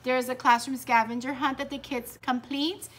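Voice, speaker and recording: Young woman, teacher, vlog chat, upbeat, a bit high voice, classroom. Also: normal speed. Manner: upbeat, chatty, excitable. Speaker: fourth grade teacher and vlogger. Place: her classroom. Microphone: camera propped nearby.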